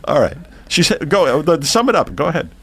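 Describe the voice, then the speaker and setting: A man co-hosting a podcast, telling a long joke in casual chat, rambling and halting with many uhs before building to the punchline. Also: on the high side, lively intonation, a bit bright, breathy edge. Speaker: podcast co-host. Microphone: podcast mic, audio only.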